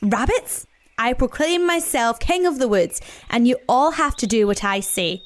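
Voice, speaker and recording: A woman voicing a pompous, grumpy male badger in a storybook, proclaiming imperiously with drawn-out words. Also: fairly high pitch, expressive, lightly acted. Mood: imperious, annoyed.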